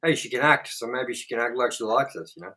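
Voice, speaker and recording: Young male host, mid-pitched, blunt and sweary, casual review banter. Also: fast, bright, forceful tone. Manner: swears a lot, blunt and frank.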